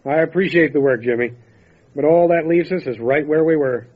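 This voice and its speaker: A calm county lawman played by a male actor in an old-time radio horror drama. He questions people in a measured, serious way, with a voice a little higher than most men's. The recording is dull and lo-fi. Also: close to an everyday voice. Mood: steady, pensive. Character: calm, methodical small-town county lawman investigating a death.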